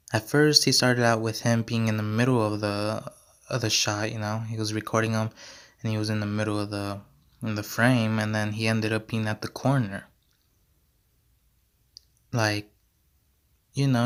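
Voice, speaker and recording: A young man hosting his own podcast, rambling in a slangy, repetitive way and going hoarse as he talks. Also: lowish voice. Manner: slangy, repeats himself, many fillers. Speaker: podcast host. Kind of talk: casual rambling monologue.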